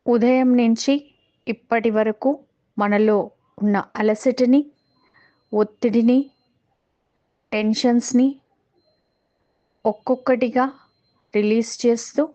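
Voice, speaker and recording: A woman with a Telugu accent guiding a meditation in a calm, measured way, pausing between short phrases. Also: fairly high pitch, poor audio. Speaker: meditation guide. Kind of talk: guided meditation instruction.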